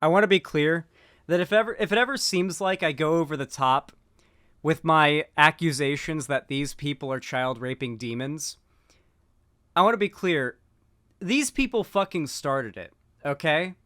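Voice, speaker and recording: A man's voice: fairly high pitch, animated, normal speed, fairly bright tone, no echo, studio quality. A man giving casual political commentary while stoned, rambling with lots of fillers and restarts.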